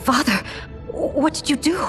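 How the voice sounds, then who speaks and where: High-voiced grieving young princess. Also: female voice, measured pace, music in the background. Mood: grieving, pleading. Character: grieving young royal daughter, dutiful and earnest.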